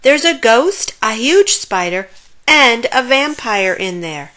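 A squeaky, timid little storybook pig, as a woman acts him out, with a high voice that jumps up in fright. He sounds nervous and breathless. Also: sing-song, slow pace, bright, forceful tone, heavily acted. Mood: scared.

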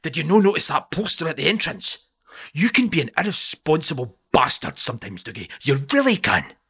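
A man's voice: a little high, big pitch swings, fairly quick, a bit bright, breathy edge, pitched above the performer's own voice, heavily acted. A Scottish man voicing a crude, scheming male football fan who sneers and mocks. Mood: scolding. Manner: sneering, mocking taunts.

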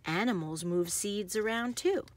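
A woman reads a children's picture book aloud expressively, with deliberate phrasing and emphasis on action words. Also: mid-range voice. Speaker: read-aloud host.

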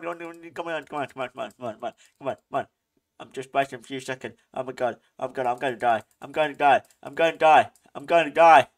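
A man hosting a gaming channel, giving excitable play-by-play commentary, often laughing and yelping in alarm. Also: on the high side. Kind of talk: live gameplay commentary.

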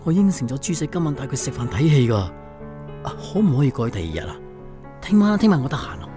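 A man's voice: medium pitch, sing-song, fast, lightly acted. An actor playing a mild, accommodating working father who does research, hesitant in manner, in a radio drama. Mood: torn, hesitant. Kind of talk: radio drama acting, casual dialogue. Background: background music.

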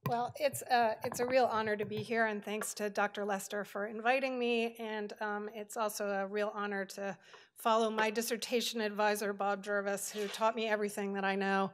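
A woman's voice: mid-range voice, level tone, normal speed. A middle-aged American woman from Hawaii giving a conversational, story-driven lecture at an auditorium lectern, informal and digressive. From Hawaii, United States. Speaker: professor, panel speaker.

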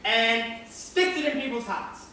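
A woman acting a deferential, slow-witted young male police constable in an amateur stage play. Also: fairly high pitch, bright, forceful tone. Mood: deferential, puzzled. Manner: deferential, dim. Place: large theatre stage, indoors. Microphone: far audience camera. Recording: distant echoey theatre stage, faint noise.

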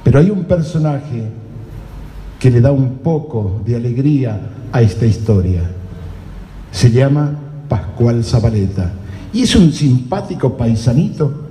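A man playing a solemn storyteller, narrating live radio theatre with dramatic pauses. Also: medium pitch, expressive, lightly acted. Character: solemn storyteller of a gaucho legend. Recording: echoey hall with loud music.

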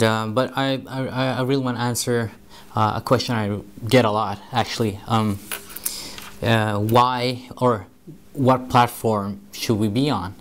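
Hesitant young Norwegian man vlogging. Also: fairly low pitch. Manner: hesitant, many ums and uhs, gestures with hands. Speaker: marketing vlogger. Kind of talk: casual vlog to camera. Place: office meeting room.

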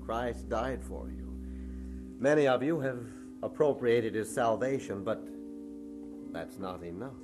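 Urgent preacher: lowish voice, emphatic exhortation, radio drama over music. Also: male voice. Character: solemn, urgent missionary preacher. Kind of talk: sermon in old radio drama.

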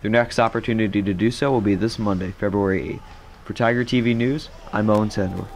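A man's voice: lowish voice, fast talker, a little hiss or hum. Teen boy reading a hockey report. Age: teens. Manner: steady, scripted reading. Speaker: student sports reporter. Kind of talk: scripted sports report.

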